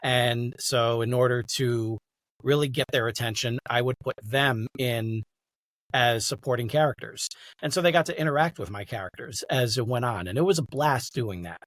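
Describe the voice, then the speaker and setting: A man's voice: medium pitch, normal speed, fairly bright tone, dry recording, poor audio. A middle-aged American man from New York City, speaking conversationally as a podcast guest and audiobook narrator. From New York City, United States. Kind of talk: conversational interview.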